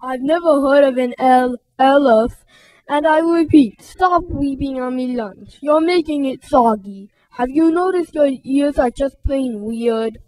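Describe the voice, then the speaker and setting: A big, pudgy bully of an elephant who drawls his demands slowly and gruffly, as a woman storyteller puts him on. The voice sits fairly high for all its bluster, recorded dry and close. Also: dark tone, dry recording, far from the mic, poor audio. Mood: bossy. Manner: slow, gruff, bossy drawl.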